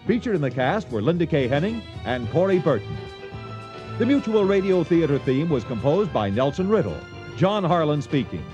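High-pitched, animated male radio announcer. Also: lo-fi sound. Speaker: program announcer. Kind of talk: scripted radio credits. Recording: theme music behind voice.

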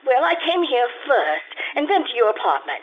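An actress playing an anxious wealthy wife who stammers and pleads, in an old-time radio drama. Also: female voice, high voice, big pitch swings, moderate pace, phone-quality sound. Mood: flustered. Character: anxious wealthy wife hiding gambling debts.

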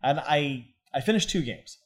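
A man co-hosting a casual gaming podcast, explaining event details in a relaxed way. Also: on the high side, normal speed. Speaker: tournament organizer and co-host. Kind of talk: casual chat about tabletop gaming.

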